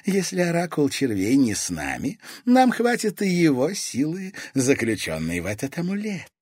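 A man voicing a haughty, spiteful female villain in a fantasy audiobook, with a sharp, exclamatory delivery. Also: on the high side, huge pitch swings, normal speed, husky, no echo, studio quality, voice pushed up, exaggerated voice. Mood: gloating. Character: sinister female shadow baroness, haughty, scornful, spiteful.

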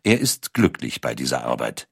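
A man's voice: extremely low pitch, sing-song, normal speed, vocal fry, breathy edge, dry recording, studio quality. A man reads scripted documentary narration as an audiobook narrator.

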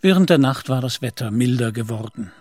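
Male radio drama narrator, mid-range voice, scripted literary prose, clear music bed. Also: mid-range voice, expressive, fairly quick, a little mellow.

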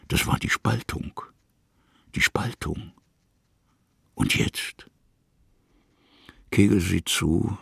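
Very deep, gravelly male narrator. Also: dry recording, studio quality. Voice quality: gravelly, husky, breathy. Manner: musing, halting, hushed at times. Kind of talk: literary narration in a radio play.

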